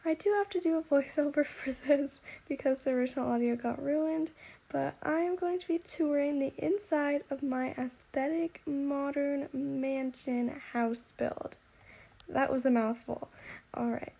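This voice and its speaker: A young woman narrates a casual house tour in a video game. Her voice is high with plenty of vocal fry. She talks in a chatty, apologetic, giggly way. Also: steady tone, measured pace, warm tone.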